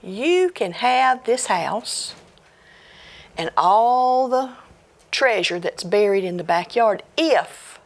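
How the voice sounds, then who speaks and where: A woman storyteller voicing a male ghost with a fiery beard who calls out in slow, drawn-out words. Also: on the high side, expressive. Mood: bargaining.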